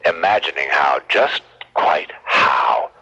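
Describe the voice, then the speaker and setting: An actor playing a menacing, taunting night caller in a radio mystery, with a husky, sharp-edged voice. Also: male voice, rumbling low, animated, slow pace, lo-fi sound, exaggerated voice. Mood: taunting, coaxing.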